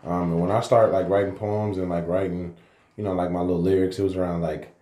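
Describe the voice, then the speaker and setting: Deep-voiced relaxed man from Texas. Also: a little fast, roomy sound. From San Antonio, Texas. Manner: relaxed, energetic, slangy. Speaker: rapper guest. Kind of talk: casual interview talk.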